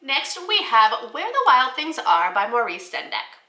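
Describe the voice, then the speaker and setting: A woman teacher giving an instructional talk to fellow educators, explaining things clearly. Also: fairly high pitch, sing-song, a little slow, bright, forceful tone. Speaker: teacher presenting children's books for the classroom. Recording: roomy, echoey indoor sound.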